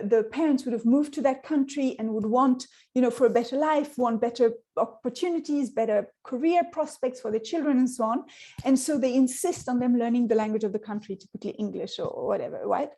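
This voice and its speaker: A woman whose first language is Swedish answers audience questions as a scientist. Her voice sits a little high but sounds warm and mellow. She talks thoughtfully, with frequent fillers and restarts. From Sweden. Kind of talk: online Q&A answer.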